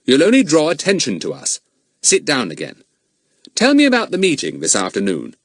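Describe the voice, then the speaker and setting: Male narrator as a sharp schoolboy sleuth, firm and commanding. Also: a little high, huge pitch swings, no echo, studio quality, pitched above the performer's own voice, exaggerated voice. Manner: brisk, commanding. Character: clever, bossy schoolboy detective.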